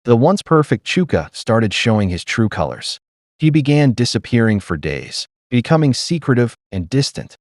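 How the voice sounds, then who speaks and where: A man narrating a scripted dramatic story in a solemn, measured storytelling manner. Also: medium pitch, big pitch swings, darkish tone, no room sound, clean studio sound.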